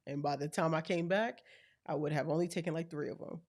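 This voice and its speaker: A woman with a deep voice hosting a podcast, chatting with her parents at their home in a relaxed, storytelling way. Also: fast talker. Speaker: podcast host. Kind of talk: casual family interview.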